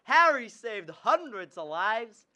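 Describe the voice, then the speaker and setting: A young man playing an earnest, excitable, self-doubting small-town husband with halting speech in a student radio drama. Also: extremely high pitch, dry recording, full character voice. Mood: proud, excited. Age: young adult. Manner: halting, stammering.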